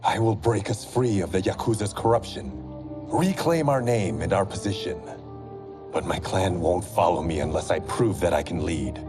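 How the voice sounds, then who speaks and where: A man's voice: moderate pace, natural voice. An actor playing an intense young male swordsman, terse and grave in a video game cutscene. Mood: stern. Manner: terse, grave, low-voiced. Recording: game cutscene with music behind.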